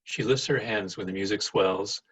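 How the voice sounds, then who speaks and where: A man with a husky voice reading stage directions as scripted narration for a play. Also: lowish voice, moderate pace, a bit bright, poor audio.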